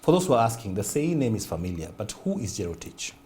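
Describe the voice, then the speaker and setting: Deep-voiced male interview host. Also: sing-song, quick pace, mellow, a little hiss or hum.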